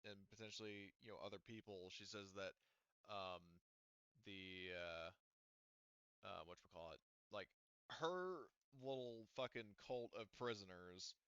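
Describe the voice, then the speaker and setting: A man narrating as game master of a tabletop roleplaying game, loose and rambling with many fillers and casual swearing. His voice is lowish, bright and smooth. The recording is dry and muffled. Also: a little slow, no room sound.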